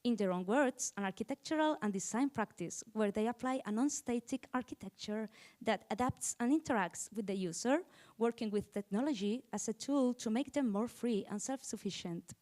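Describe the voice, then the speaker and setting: A woman's voice: fairly high pitch, normal speed, a little mellow. A woman speaking as the host of an event, giving a semi-formal welcome and introducing the speakers.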